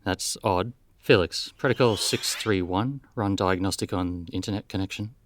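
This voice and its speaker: Man playing a low-voiced, world-weary older detective. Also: moderate pace, dry recording, lightly acted. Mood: businesslike, dry. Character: world-weary male detective nearing seventy, dryly cynical. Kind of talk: radio play detective narration and dialogue.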